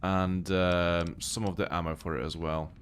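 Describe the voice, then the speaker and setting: A man's voice: sits low, measured pace, slight background noise. A man from Poland with a British accent, born Polish-speaking, chatting casually as he plays a game on a live stream and thinks aloud. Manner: relaxed, thinking aloud while playing.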